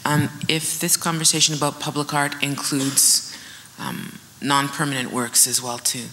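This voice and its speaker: A woman moderating a panel informally, reflecting aloud and pausing between phrases. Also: rumbling low, flat delivery, bright, forceful tone, a little hiss or hum, low-quality recording. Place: indoor event stage.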